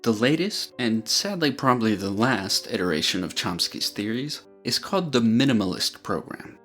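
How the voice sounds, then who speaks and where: A man narrating an explanatory video, speaking in a clear, measured way and walking through ideas step by step. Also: lowish voice, sing-song, a bit bright, soft background music.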